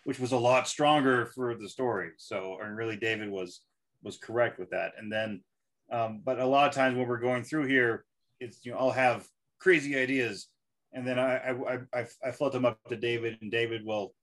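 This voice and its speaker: A man, a guest author, chatting casually about writing in a halting way, often repeating words. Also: medium pitch, a bit bright.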